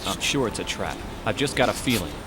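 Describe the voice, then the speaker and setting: A man's voice: on the low side, big pitch swings, a little fast, background noise. A man playing an easygoing but wary police squad member in an English anime game dub, with a casual, musing delivery.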